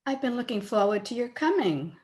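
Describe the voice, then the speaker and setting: Woman acting a high-voiced headstrong young daughter. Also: very animated, brisk, a little mellow. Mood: earnest, pleading. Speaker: actor in an amateur radio play. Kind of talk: old-time radio drama acting. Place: at home, recorded over video call.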